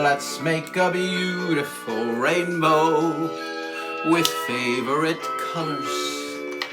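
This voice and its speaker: A man's voice: mid-range voice, poor audio. A man hosting a children's puppet show and sing-along, exclamatory and encouraging, repeating his phrases. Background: music.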